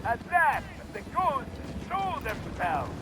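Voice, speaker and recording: A menacing video game mercenary colonel, throws out boastful taunts in a very high, shouted voice. He draws words out slowly with big swoops of pitch, over battlefield noise. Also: huge pitch swings, full character voice. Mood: taunting, menacing.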